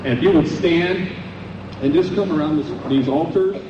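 A man preaching earnestly to a camp audience, his voice worn hoarse and losing its edge. It is heard from a distance on a dull, hissy live tape. The sound carries the echo of a chapel room. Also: on the high side, normal speed. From United States. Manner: earnest, exhorting. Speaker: camp speaker. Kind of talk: evening sermon to teens. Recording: echoey dull 1980s live tape from far off.

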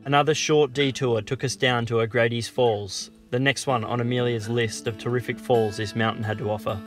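A man, narrating a bushwalk vlog in a calm, steady voice-over. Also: medium pitch, a little fast. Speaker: vlogger narrating a hike. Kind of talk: scripted travel narration. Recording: voice-over with guitar music behind.

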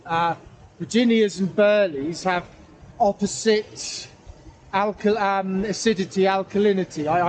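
A middle-aged man chatting casually for an outdoor vlog, rambling hesitantly and correcting himself as he goes. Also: high voice. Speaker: pipe-smoking vlogger. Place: outdoors in a wooded valley. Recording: outdoor ambient noise, camera at a distance.

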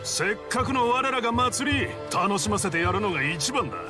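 Lordly great youkai, swooping intonation. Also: male voice, high voice, expressive, normal speed, music in the background. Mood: jovial, commanding. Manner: lordly, archaic, theatrical. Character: grand hearty great youkai beast, boisterous elder leader. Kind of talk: Japanese anime-style game cutscene acting.

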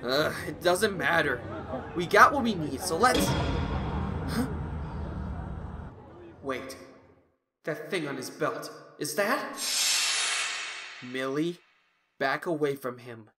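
A young man playing a serious, sarcastic, exasperated human team member in an animated fan audio drama. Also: fairly high pitch, takes it slowly, long pauses, noisy background. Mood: wary, tense. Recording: music behind the voice.

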